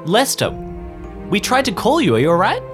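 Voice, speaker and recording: A flustered local park ranger played by a man, uneasy and quick to dismiss odd ideas. His pitch swings about as he talks, in an improvised tabletop game with faint music. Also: wildly swooping pitch. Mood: concerned.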